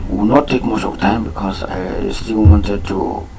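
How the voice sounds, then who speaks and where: A man whose first language is Yoruba, giving an unscripted talk on a program, pausing often with fillers and repeating his key points. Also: lowish voice, fairly even intonation, mellow, slight background noise, low-quality recording. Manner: halting, many fillers and restarts. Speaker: program presenter.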